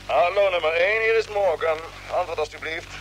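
An actor playing a calm, authoritative spaceship captain giving brisk orders in a vintage radio drama. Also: male voice, high-pitched, faint music behind, low-quality recording. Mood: commanding. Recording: old radio recording with background noise.

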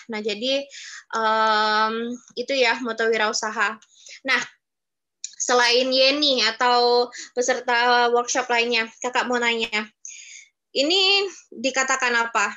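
Bright-voiced young woman on a video call. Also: a little high, muffled recording. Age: 20s. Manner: chatty, addresses students as younger siblings. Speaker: webinar speaker. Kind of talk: casual teaching over video call.

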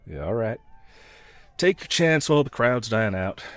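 A man's voice: mid-range voice, sing-song, normal speed, no echo, lightly acted. A man playing a snarky, pragmatic yet protective mercenary escort in a fantasy audio roleplay, talking to the listener in a wry, teasing, laid-back way. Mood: reassuring.

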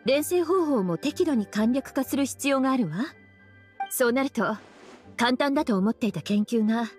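Sultry witch librarian, languid big-sister teasing, fairly high voice. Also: lively intonation, moderate pace, faint music behind. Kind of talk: Japanese game dub.

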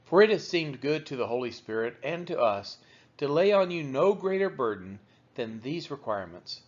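A man reading the Bible aloud as measured narration, with deliberate, emphatic phrasing. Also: medium pitch. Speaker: Bible reader. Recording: dull, lo-fi recording.

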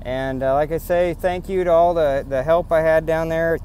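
A man's voice: a little high, fairly even intonation, moderate pace, darkish tone, slight background noise. Indignant man ranting from his truck. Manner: indignant, rambling, repeats himself. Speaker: vlogger. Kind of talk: casual rant to camera. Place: in a pickup truck on farm roads, then outdoors on rural land.

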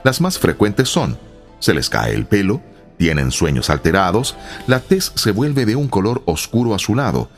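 A man's voice: lowish voice, big pitch swings, moderate pace, soft background music. A man giving scripted, explanatory radio narration as a presenter.

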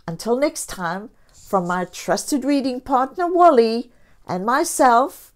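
A woman storyteller reading a picture book aloud to young children, expressively and deliberately, with encouraging asides. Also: on the high side, lively intonation. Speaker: children's storyteller and channel host.

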